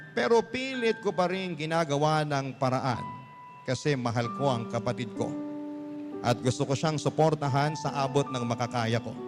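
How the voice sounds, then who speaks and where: A Filipino man telling a scripted first-person story in an earnest, emphatic, confiding radio-drama narration style. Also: fairly high pitch. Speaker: storytelling host. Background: music bed.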